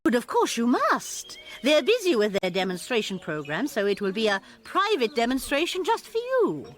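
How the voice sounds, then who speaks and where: Proud, authoritative British college principal, radio drama; high register, dramatically varied intonation. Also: female voice, wildly swooping pitch. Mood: genial. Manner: brisk, authoritative, schoolmistressy.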